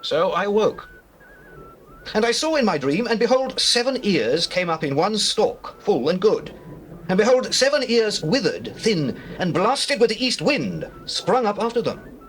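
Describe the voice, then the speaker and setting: A commanding British-accented pharaoh, troubled and theatrical, his high voice swinging dramatically in pitch. It is an audio Bible drama in archaic English, with some hiss from an old tape. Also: big pitch swings, a little slow, a bit bright, exaggerated voice. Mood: troubled, intense. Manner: declamatory, theatrical. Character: troubled, commanding king of Egypt recounting his dreams. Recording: noisy old cassette tape.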